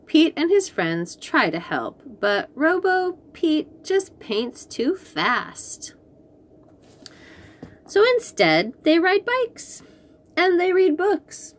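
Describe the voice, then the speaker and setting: A woman reading aloud to young children as host, expressive and encouraging, with chatty, teacherly asides. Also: high voice. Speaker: children's story reader and host.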